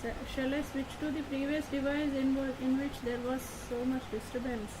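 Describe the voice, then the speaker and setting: A woman's voice: high voice, little pitch movement, normal speed. A woman teaching an online class from home on a laptop mic, talking plainly and repeating herself often while checking that her students can hear her. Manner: repetitive, checks on listeners often. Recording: noisy home recording, fan hum behind. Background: fan noise.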